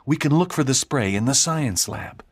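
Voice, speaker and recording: A man's voice: medium pitch, expressive, normal speed, breathy edge, no echo, studio quality. A man playing an earnest schoolboy friend in a dramatized storybook, speaking expressively. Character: excitable but thoughtful schoolboy friend.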